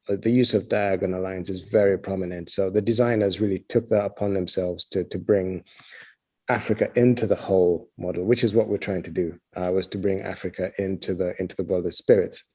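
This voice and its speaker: A middle-aged man from Uganda, a head distiller, answering interview questions in a relaxed way with many fillers. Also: low-pitched.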